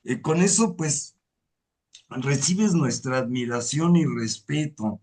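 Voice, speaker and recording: A man's voice: mid-range voice, lively intonation, darkish tone. A man hosting a conversational interview, talking in long, hesitant sentences full of fillers. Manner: hesitant and wordy, many drawn-out fillers. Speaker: host. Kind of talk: conversational interview on a video call.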